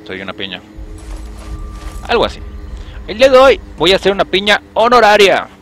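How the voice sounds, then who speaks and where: A man's voice: high voice, brisk. Mexican guy streaming, jokey, voice jumps all over, music playing under him. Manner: joking, animated, talks to chat. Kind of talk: casual gameplay commentary.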